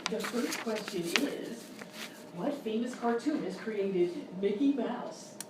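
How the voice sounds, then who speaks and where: Lady acting fed up quiz host, condescending, swingy high voice, comedy sketch, echoey noisy live recording. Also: expressive, slow. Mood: mock-polite. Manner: incredulous, scolding, mock-polite. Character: exasperated female quiz show host, condescending and incredulous. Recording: very echoey live room, far mic, noisy.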